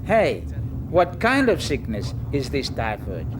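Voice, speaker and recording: A man's voice: mid-range voice, huge pitch swings, slow pace. An actor playing a curious, sceptical village man in conversational educational film dialogue. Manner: conversational, dismissive of youngsters. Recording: noisy outdoor street with vehicles.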